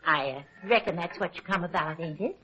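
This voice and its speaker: An actress playing a chatty, gossipy farm wife in an old radio drama, with a rural American accent and rambling, homespun speech. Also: female voice, lowish voice, a little fast, bright, forceful tone. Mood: friendly, chatty. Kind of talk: 1940s radio drama acting. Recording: dull old radio recording.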